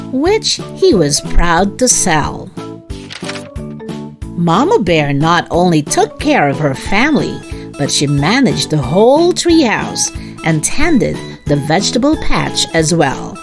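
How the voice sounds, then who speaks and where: Woman, storybook read-aloud host, unhurried delivery, expressive, highly varied intonation, addressing children. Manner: expressive, addressing young listeners. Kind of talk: scripted children's story narration. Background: music.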